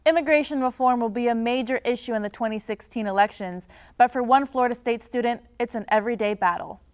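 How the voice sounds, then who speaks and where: A woman's voice: on the high side, quick pace, clear, resonant tone. A woman reading scripted student news narration.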